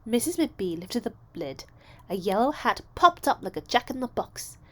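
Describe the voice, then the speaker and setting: A woman narrating a children's story aloud, expressively, with deliberate phrasing and dramatic emphasis. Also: fairly high pitch, very animated. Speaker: storybook reader.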